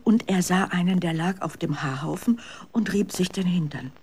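A woman narrating a children's story in a dramatic, expressive scripted style. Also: on the low side, moderate pace, slightly rough.

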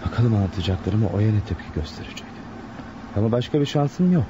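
A man's voice: on the low side, expressive, fast talker, natural voice. A man acting a nervous, scheming insurance clerk in a radio drama, hesitant and muttering. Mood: scheming, anxious. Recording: muffled, noisy radio drama recording.

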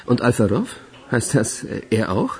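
Gravelly, wry police colleague. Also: male voice, medium pitch, big pitch swings, moderate pace. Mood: wry, probing. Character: wry, sceptical male police colleague asking questions. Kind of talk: German radio play acting.

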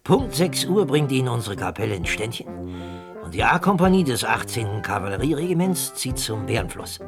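An actor playing a wry, chatty old prairie scout with a husky voice and folksy teasing in a German radio play. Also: male voice, mid-range voice, very animated, a little fast, over music.

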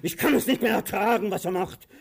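An actor playing a cruel, pompous master of about sixty in a radio drama, declaiming theatrically and swinging between grandeur and self-pitying whining. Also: male voice, high-pitched, fairly quick, vocal fry. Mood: anguished. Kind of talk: radio drama stage acting.

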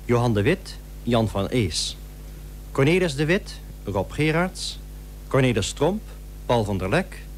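Formal male announcer with lively intonation. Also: medium pitch, a little slow. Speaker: radio announcer. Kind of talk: formal scripted credits announcement. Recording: old radio recording with faint hum.

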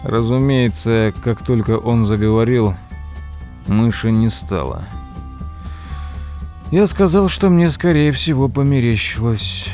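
A man's voice: mid-range voice, unhurried, long pauses, a little mellow, soft background music, lightly acted. An actor playing a nervous nephew who narrates the story of his uncle in an audio drama. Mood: measured, storytelling.

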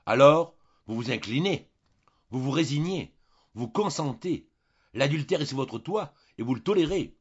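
A severe, zealous priest, acted by a male audiobook reader, preaches and accuses with commanding force. The pitch swings widely and the voice is husky, in a slightly dull recording. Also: very animated, normal speed, darkish tone. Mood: furious, accusing. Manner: vehement, moralizing, commanding, rhetorical. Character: stern, fanatical priest, wrathful and accusing.

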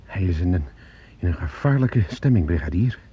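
An actor playing a grave senior policeman in a radio play, authoritative and measured. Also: low voice, expressive, mellow, slightly rough, heavily acted. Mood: grave, worried. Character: grave senior police officer, steady and in charge. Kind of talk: radio drama acting. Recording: muffled, noisy old radio play recording.

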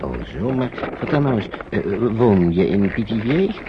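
An actor playing a kindly, protective man coaxing refugee children in a halting, avuncular way, in a radio drama. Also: fairly low pitch, big pitch swings. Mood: coaxing, inquisitive. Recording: dull, noisy old radio recording.

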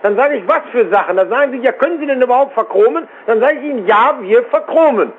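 A very high-voiced man talks bluntly and with exasperation on the phone, pressing his questions again and again. His pitch swings in a lively way. The line is thin and tinny. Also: fast, slight background noise. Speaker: chrome-plating tradesman receiving a call. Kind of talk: spontaneous phone conversation.

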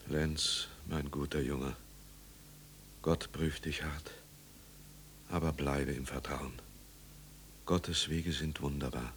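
A man's voice: rumbling low, fairly even intonation, a bit bright, exaggerated voice. An actor playing a grave, compassionate older village pastor, fatherly, patient and solemn, in a 1950s radio drama. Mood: consoling. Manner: measured, solemn, consoling. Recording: noisy, humming 1950s radio recording.

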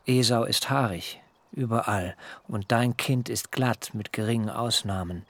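An actor playing a cautious biblical son who tells his own story in a measured, literary way in a radio drama. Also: mid-range voice, a bit bright, no echo. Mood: anxious, doubtful. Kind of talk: radio drama acting and first-person narration.

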